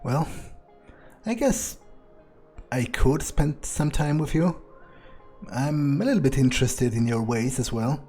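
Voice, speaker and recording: A man roleplaying a hesitant, curious vampire in a video game, musing aloud in a halting, wary way. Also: mid-range voice, animated, dark tone, faint music behind, natural voice. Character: hesitant, curious male vampire, wary yet polite loner. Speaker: let's player voicing own character. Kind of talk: in-character improvised game roleplay.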